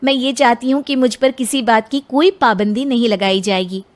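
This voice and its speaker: An outspoken young daughter about to be married, from a cartoon moral tale, acted by a woman. She has a high voice and states her wishes firmly. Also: moderate pace, lightly acted. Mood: firm, earnest. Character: educated, outspoken young bride-to-be, indignant daughter. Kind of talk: animated moral story acting.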